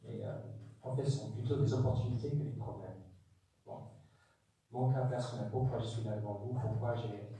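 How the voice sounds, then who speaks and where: A man's voice: medium pitch, normal speed, warm tone. A man in his fifties, a business owner speaking on a panel in a candid, self-deprecating way. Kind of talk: panel talk. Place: conference room. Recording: echoey hall from far off, faint noise.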